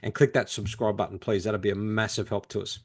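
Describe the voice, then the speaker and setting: A man's voice: fairly low pitch, level tone, fairly quick, husky. Middle-aged man, conversational. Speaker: podcast guest, crime novelist. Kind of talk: conversational interview answers.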